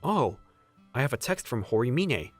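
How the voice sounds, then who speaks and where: A man's voice: mid-range voice, very animated, moderate pace, faint music behind. A young man playing a polite, cautious new employee, speaking dialogue and first-person narration in a manga dub. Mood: reflective. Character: hesitant, polite young man, newly hired junior employee.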